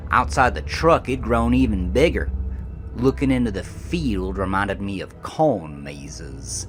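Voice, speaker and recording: An actor playing a shaken, defensive American trucker, telling a horror story in a coarse, folksy, rambling way for an audio drama. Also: male voice, lowish voice, animated, measured pace. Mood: tense, haunted. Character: shaken, defensive trucker confessing a horrifying roadside encounter. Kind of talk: dramatic first-person horror audio monologue.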